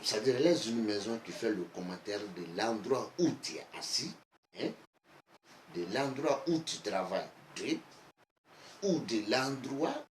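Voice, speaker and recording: West african guy teaching, bambara first language, really slow and emphatic, repeats stuff, roomy echo. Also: medium pitch, big pitch swings. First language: Bambara (Bamanankan). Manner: emphatic repetition, deliberate. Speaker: geomancy teacher, online video host. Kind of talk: instructional explanation. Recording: echoey room, faint background noise.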